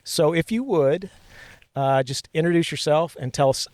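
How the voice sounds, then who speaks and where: A man's voice: fairly high pitch, lively intonation, moderate pace, a little mellow, no echo. A man producing and co-hosting a college podcast, introducing a guest and prompting her with encouraging affirmations. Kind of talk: friendly interview.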